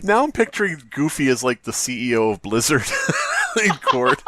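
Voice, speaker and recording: A man's voice: medium pitch, huge pitch swings, normal speed, pushed and bright, no echo. A man co-hosting a comedy podcast, bantering casually with mock-earnest jokes and interjections.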